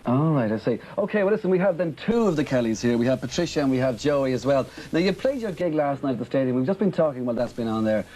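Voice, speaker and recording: A man hosting a TV interview, asking brisk, chatty and probing questions. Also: medium pitch, lively intonation, mellow, rough recording. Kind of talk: casual TV interview.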